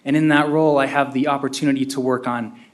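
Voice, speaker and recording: A man's voice: medium pitch, fairly even intonation, fast talker. A man from Utah, a city council candidate giving a fluent, polite prepared statement at a podium microphone in a council chamber. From Utah, USA. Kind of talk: prepared statement at a public meeting.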